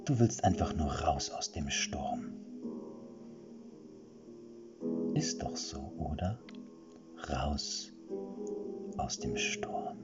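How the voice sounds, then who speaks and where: A man voicing a scheming witch who pleads in a high, breaking voice and then whispers seductively in a breathy, intimate way. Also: lively intonation, takes it slowly, heavily acted. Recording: muffled lo-fi recording with music.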